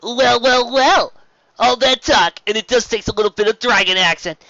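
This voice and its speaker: A woman voicing a cocky little male baby dragon, loud, boastful and bouncy. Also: a little high, normal speed, no echo, lo-fi sound, voice pushed up.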